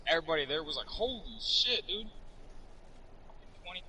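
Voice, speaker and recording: A man's voice: a little high, lively intonation, normal speed, bright, forceful tone, slight background noise, poor audio. A man in his twenties streaming a game, chatting casually and telling rambling, profane stories. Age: 20s. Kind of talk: casual chat while gaming.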